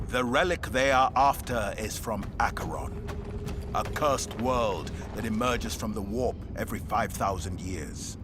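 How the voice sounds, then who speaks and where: Guy as low solemn battle-hardened marine, game cutscene, noisy rumble behind. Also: measured pace, close to an everyday voice. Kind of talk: video game cutscene acting.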